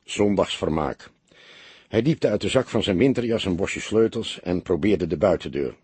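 A man narrating an audiobook story from a script in a measured, expressive way. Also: sits low, slightly rough, no echo.

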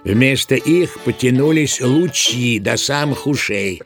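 A man's voice: mid-range voice, lively intonation, slow pace, music in the background. A man narrating a classic literary tale as the storyteller of a Russian audio play.

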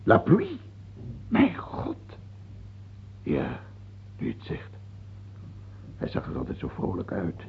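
An actor playing a morbid, fearful king in a radio play, brooding and theatrical, with a husky, gravelly voice. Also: sits low, huge pitch swings, slow, pauses often, a little hiss or hum, full character voice. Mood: morbid, uneasy. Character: morbid, fearful French king haunted by death. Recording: muffled narrow-band old radio recording.

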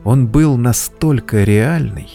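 Mellow male storyteller over faint music. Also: medium pitch, slow, creaky. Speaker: narrator of an audio drama. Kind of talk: scripted dramatic narration.

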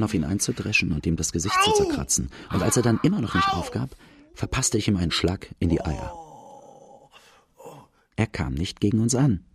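An actor playing an outlaw recounting his boyhood, as gravelly first-person narration in a radio drama. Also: sits low, full character voice. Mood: grim.

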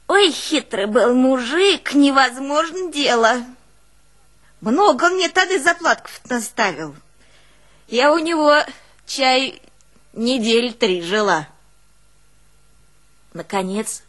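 Russian village woman w/ northern country dialect, high voice, really slow storytelling, folksy and emotional stage monologue. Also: full character voice. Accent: northern Russian rural dialect. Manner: folksy, expressive, exclaiming and musing aloud. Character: old northern Russian peasant woman recalling her youth, artless, emotional. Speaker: stage actress in a one-woman play. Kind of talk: theatrical folk monologue, storytelling.

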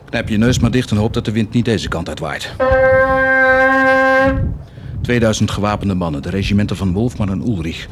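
An actor playing a cruel, volatile army commander in a theatrical radio drama, sardonic and commanding in manner. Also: male voice, lowish voice, normal speed, pauses often, a little mellow, soft background music, close to an everyday voice. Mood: brusque, commanding.

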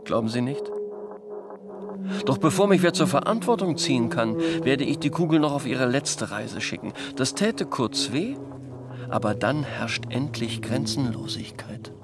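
An actor playing a wealthy, paranoid gentleman who muses theatrically in a radio play monologue. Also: mid-range voice, lively intonation, unhurried, noisy background. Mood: brooding. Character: wealthy, paranoid, philosophizing gentleman in a guarded garden.

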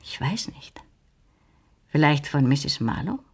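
Deep, husky, composed woman. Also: sing-song, full character voice. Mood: pensive, explaining. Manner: measured, deliberate reasoning. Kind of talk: German radio crime drama dialogue.

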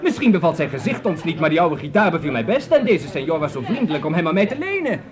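A man's voice: fairly high pitch, very animated, fast talker. An actor playing a jovial young man who teases and banters in a radio drama. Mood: teasing. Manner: playful, bantering. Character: jovial, teasing young man among friends. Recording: crowd noise behind. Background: crowd.

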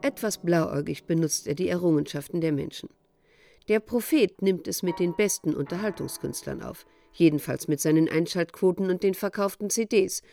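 An actress playing a composed narrator in a radio drama, telling the story with faint irony. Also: female voice, on the low side, moderate pace, gravelly, dark tone. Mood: detached, dry. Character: composed female narrator chronicling a prophet's rise.

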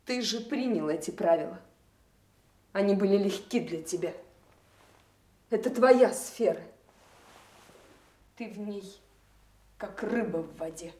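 A woman's voice: medium pitch, dark tone, lightly acted. Woman acting an intense, earnest young lover. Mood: reproachful. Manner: halting, earnest, dramatic. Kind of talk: radio play acting.

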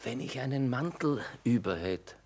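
A man's voice: mid-range voice, expressive, moderate pace, close to an everyday voice. An actor playing a timid, sanctimonious army clergyman, hesitant and wheedling. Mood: anxious, wheedling. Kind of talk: stage-style radio drama acting. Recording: noisy track with battle sounds.

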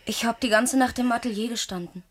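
An actress playing a bitter, defiant young model who has been jilted, in a 1980s German radio crime drama. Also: female voice, fairly high pitch, fairly quick, lightly acted. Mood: defensive. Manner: snippy, defensive.

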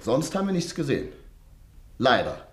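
An actor playing a plain-spoken, blustery chief constable in a radio drama, reporting in colloquial storytelling fashion. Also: male voice, mid-range voice, huge pitch swings, normal speed, a bit bright. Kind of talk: German radio drama acting. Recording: roomy echo on radio drama.

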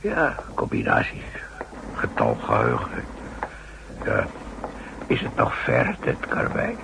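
A man playing a courteous, halting safecracker who considers himself an artist, in an old radio play. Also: extremely low pitch, wildly swooping pitch, creaky, exaggerated voice. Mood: deferential, hesitant. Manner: deferential, hesitant, halting with verbal tags. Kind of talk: 1960s Dutch radio play acting. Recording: noisy old radio drama recording.

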